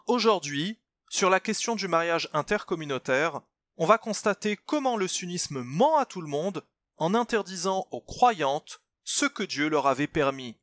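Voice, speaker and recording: Guy explaining a text step by step, really animated melody, emphatic teacher style, dry close sound. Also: on the high side, dry recording. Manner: didactic, emphatic, addresses the listener directly. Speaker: presenter of a religious commentary channel. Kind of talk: scripted explanatory narration, step-by-step textual analysis.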